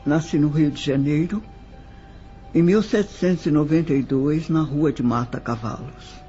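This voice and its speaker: A man's voice: on the high side, dark tone, natural voice. A man with a Brazilian accent playing a sorrowful nineteenth-century widow who recounts her life formally in an audio drama. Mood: reminiscing. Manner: slow, measured, formal recollection. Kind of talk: scripted audio drama monologue. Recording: slightly muffled, music underneath. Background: background music.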